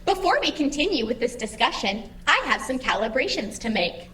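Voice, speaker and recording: A woman's voice: high-pitched, big pitch swings, normal speed, pushed and bright, roomy sound, far from the mic, slight background noise, heavily acted. A woman playing an anxious, chirpy AI assistant in an audio drama, with formal, over-eager politeness. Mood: polite, probing. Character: anxious, chirpy female AI assistant guiding the digital afterlife.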